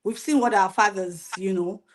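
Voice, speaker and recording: A woman's voice: medium pitch, moderate pace, poor audio. Earnest woman arguing her view. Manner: earnest, argumentative. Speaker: panelist. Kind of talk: opinionated panel chat.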